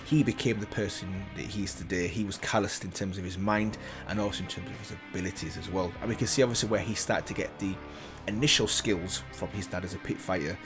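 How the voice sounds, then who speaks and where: A man giving casual, enthusiastic reaction commentary on a video game character, often saying "obviously.". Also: lowish voice.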